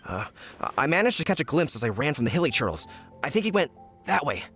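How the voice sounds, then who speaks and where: A man playing a flustered, indignant village trader in a video game, complaining in an agitated, hurried way. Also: a little high, big pitch swings, fairly bright tone, soft background music. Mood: recalling.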